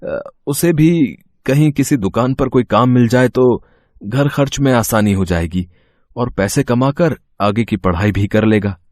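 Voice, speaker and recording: A man's voice: medium pitch, unhurried, a little mellow, no room sound, studio quality, natural voice. A man playing a poor, humble labourer father in a moral story, speaking in a subdued, deferential way. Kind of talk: dramatised Hindi moral story acting.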